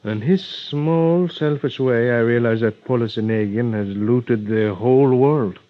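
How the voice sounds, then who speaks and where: An actor playing an earnest, grieving doctor with a Spanish accent, speaking formally and haltingly in vintage radio drama style. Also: male voice, medium pitch, lightly acted. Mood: indignant. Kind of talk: 1940s-50s radio drama acting. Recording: dull vintage radio recording.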